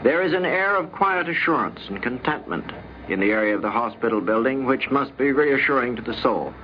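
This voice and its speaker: A man's voice: mid-range voice, lively intonation. Formal man reading, dull hissy radio recording. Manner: formal, deliberate. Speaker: diplomat giving a recorded account. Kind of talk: scripted, read-aloud reflective account.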